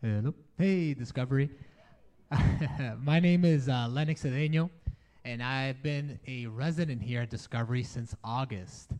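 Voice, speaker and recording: A young man from the Dominican Republic, raised in New York City, sharing a relaxed personal testimony with a congregation in a barn church, with easy laughs and filler words. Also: mid-range voice, measured pace. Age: young adult. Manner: relaxed, chatty, laughs easily, many fillers. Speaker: church resident speaker. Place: barn used as a church hall, rain outside.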